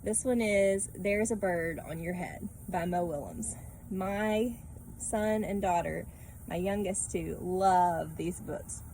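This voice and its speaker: A woman's voice: on the low side, slow, mellow, slight background noise, rough recording. A woman reading a picture book aloud to children, with casual asides and simple questions to the listener.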